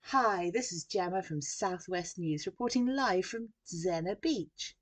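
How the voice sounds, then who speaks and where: A woman voicing a pushy, snappy female TV news reporter in a storybook, with a forceful delivery. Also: on the low side, expressive, measured pace. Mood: bright, on air. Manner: forceful, over-bright on-air delivery.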